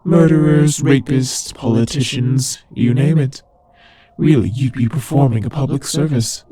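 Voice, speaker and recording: A man's voice: mid-range voice, measured pace, dark tone, dry recording, lightly acted. A man playing a teasing, sardonic demon ruler of hell in a scripted roleplay audio drama, with a conversational, theatrical delivery. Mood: mock-reassuring, impatient.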